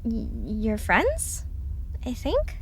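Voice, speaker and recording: A woman plays a skeptical young sister in an audio drama. Her high, lively voice is insistent and conversational, with faint ambient noise behind it. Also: drawn-out words, exaggerated voice.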